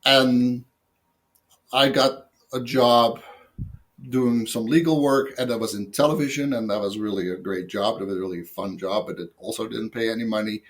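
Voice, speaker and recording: A man's voice: on the low side, moderate pace. Rambling Dutch-accented man telling stories. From Netherlands. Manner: rambling, self-mocking, frequent fillers and restarts. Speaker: guest. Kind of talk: casual interview storytelling.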